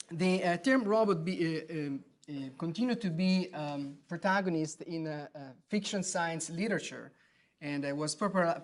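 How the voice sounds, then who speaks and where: Italian-accented man lecturing, halting delivery. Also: on the high side. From Italy. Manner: hesitant, many fillers and restarts. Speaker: surgeon giving a lecture. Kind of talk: academic lecture at grand rounds. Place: lecture hall.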